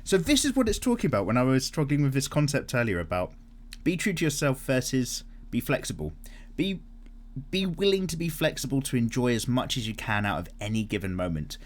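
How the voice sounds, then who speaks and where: A young man chats his way through viewer comments while he sketches. His voice at a middle pitch swings up and down freely, and he rambles with plenty of fillers and the odd casual swear. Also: big pitch swings, fast. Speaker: art channel host.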